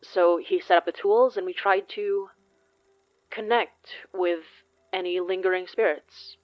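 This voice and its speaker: A woman playing a grave, commanding spirit investigator in an audio drama, speaking solemnly and deliberately. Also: lowish voice, fairly even intonation, no echo, highs cut off, close to an everyday voice. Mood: solemn, measured.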